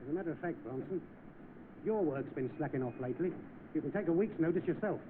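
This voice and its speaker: An actor with a British accent playing a petty, sharp-tongued garage manager who bullies his staff in an old film. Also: fairly high pitch, animated, fast, close to an everyday voice. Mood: spiteful. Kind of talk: vintage film acting. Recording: tinny, noisy old film soundtrack.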